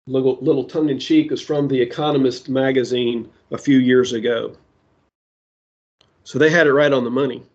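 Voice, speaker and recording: A man preaching a sermon that ties prophecy to current events, speaking deliberately with side remarks, his voice giving out. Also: mid-range voice, darkish tone, highs cut off. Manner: slow, deliberate delivery with asides. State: losing his voice.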